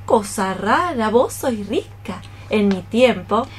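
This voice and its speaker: A woman's voice: medium pitch, expressive, slightly rough, soft background music. An actress playing a scolding, scheming old mother cockroach in a theatrical, exclamatory radio play style. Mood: prying. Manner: exclamatory, theatrical, drawn-out phrases. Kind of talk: radio theatre acting in archaic poetic Spanish.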